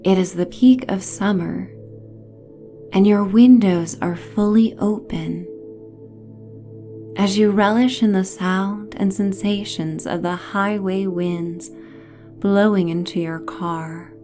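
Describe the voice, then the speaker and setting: Woman, sleep story narrator, very slow pace, soft mellow tone, soothing, breathy phrase endings, music underneath. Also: mid-range voice, steady tone. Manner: soothing, gentle, phrases trailing into breathy near-whispers. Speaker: narrator and host of sleep stories.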